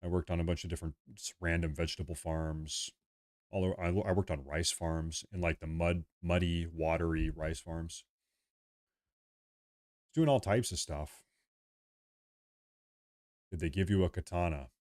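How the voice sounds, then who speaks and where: A man's voice: deep voice, steady tone, normal speed, long pauses, a little mellow. A young man hosting a casual livestream, rambling and repeating himself as he talks to his viewers. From United States. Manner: rambling, repeats himself, reads chat aloud. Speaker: livestream host.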